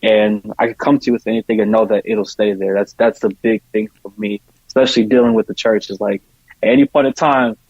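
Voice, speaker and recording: A man's voice: fairly low pitch, steady tone, a little fast, darkish tone, breathy edge, dry recording, lo-fi sound. A man speaking casually and earnestly as a podcast guest, often repeating points for emphasis. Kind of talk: casual conversation.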